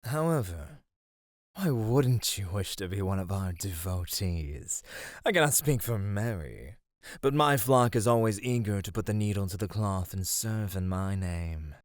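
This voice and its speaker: A man's voice: lowish voice, expressive, measured pace, no echo, studio quality. A man voicing a flirty, vain male god of divine radiance in an ASMR roleplay, speaking in a teasing, affectionate way. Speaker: voice actor.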